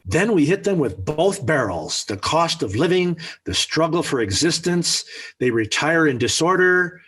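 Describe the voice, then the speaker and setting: A man's voice: fairly high pitch, very animated, a little slow, a bit bright, slightly rough, heavily acted. An actor playing a smug, scheming middle-aged American father in 1940s radio sitcom style, with pompous, emphatic speechifying. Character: smug scheming family father, blustery and theatrical. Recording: old 1940s radio recording.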